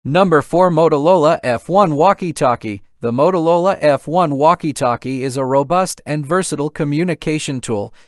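A man's voice: fairly high pitch, moderate pace, hardly any pauses, no room sound, clean studio sound. A man reading a scripted product review voice-over in a polished, promotional manner. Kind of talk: scripted narration.

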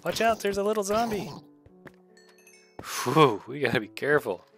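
Very low-voiced woman doing gameplay commentary. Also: sing-song, normal speed, faint music behind. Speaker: gaming video host playing alongside a child. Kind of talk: casual gameplay commentary for kids.

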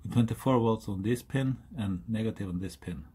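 A man in his forties from Norway, speaking English with a Norwegian accent, explaining a hobby project in a halting, self-correcting way. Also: on the low side, dark tone, breathy edge. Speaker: hobbyist YouTuber. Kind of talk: casual DIY explainer. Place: outdoors on a hilltop, then indoors at a workbench.

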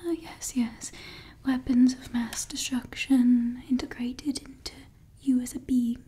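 A woman's voice: a little high, level tone, a little slow, warm tone, natural voice. A young woman playing a grandiose evil woman scientist in an ASMR roleplay, whispering theatrically into a close mic in a small room. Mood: doting. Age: young adult. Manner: whispered, theatrical, self-important. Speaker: ASMR creator. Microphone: close mic at face level.

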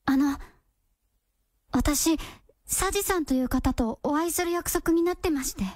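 Radio drama heroine: young, sincere, courteous and tentative, strikingly high pitch. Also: female voice, measured pace, a little mellow, dry recording. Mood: polite, hesitant. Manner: polite, hesitant. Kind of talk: Japanese radio drama acting.

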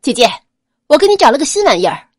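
A woman voicing a cheerful, excited teenage younger brother in an audiobook, boyish and breezy. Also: sits high, fast talker, dry recording, studio quality, voice pushed up. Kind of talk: dramatized audiobook character acting.

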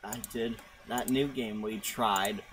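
A young man joining a gaming chat with quiet, offhand jokes. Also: on the low side, steady tone, takes it slowly, slight background noise, low-quality recording. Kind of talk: casual chat.

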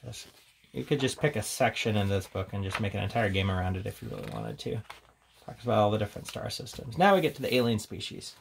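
Low, creaky-voiced man, casual reviewer. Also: big pitch swings, quick pace, slight background noise. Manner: informal, offhand. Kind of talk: casual hands-on book showcase commentary.

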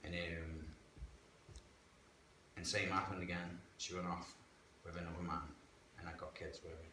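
A low-voiced man tells his story in a frank, plain-spoken, conversational way. He is picked up by a distant camera in a reverberant church hall, and a steady hiss sits under his voice. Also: normal speed. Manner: frank, plain-spoken, chatty with local slang. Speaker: guest sharing life story. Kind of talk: candid personal testimony. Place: church hall, indoors, medium-sized. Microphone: far camera. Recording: echoey hall with background hiss.

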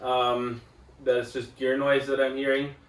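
A man in his forties hosting a casual hobby vlog, talking viewers through his work in a relaxed, chatty way from a sunroom at home. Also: mid-range voice, unhurried, rough recording. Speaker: vlog host. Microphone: camera at a distance.

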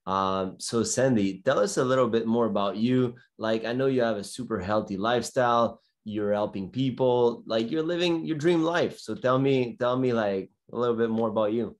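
A man's voice: mid-range voice, normal speed, few pauses. A man in his thirties hosting a podcast interview, talking casually in loose, informal phrasing. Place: small bedroom indoors. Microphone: video call microphone.

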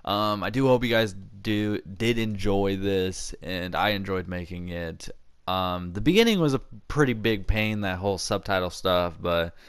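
A man's voice: sits low, a little slow, clean tone. A young man hosting a casual storytelling vlog, rambling and often interrupting himself mid-thought. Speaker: video channel host.

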